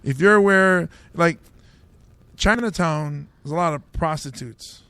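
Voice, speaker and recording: Samoan comedian guy hosting a podcast, kinda raspy and high, cracking jokes. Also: takes it slowly, pushed and bright, no room sound. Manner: riffing, jokey, laughs at own bits. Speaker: host, stand-up comedian. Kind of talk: casual comedy podcast banter.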